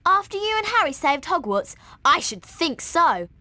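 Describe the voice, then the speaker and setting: A woman's voice: very high voice, sing-song, moderate pace, dry recording, full character voice. An actress playing a studious, rule-minded schoolgirl in a video game, earnest and precise in her delivery. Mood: confident. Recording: loud music behind the voice. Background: loud game music.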